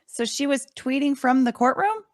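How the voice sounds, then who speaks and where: A woman's voice: sits high, animated, normal speed, dry recording. Woman playing a blunt judge, dry close sound. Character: brisk, no-nonsense female trial judge. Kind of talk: dramatic reading of a court transcript.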